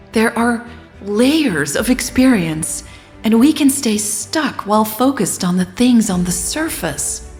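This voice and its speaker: A woman playing a gentle, earnest former coma patient making a measured, pleading speech in an audio drama. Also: fairly high pitch. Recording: echoey reverberant hall, music underneath.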